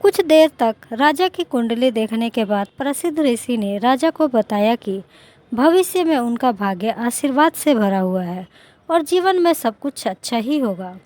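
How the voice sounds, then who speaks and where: A woman's voice: fairly high pitch, lively intonation, a little slow, darkish tone. A woman reading a scripted moral story as a narrator.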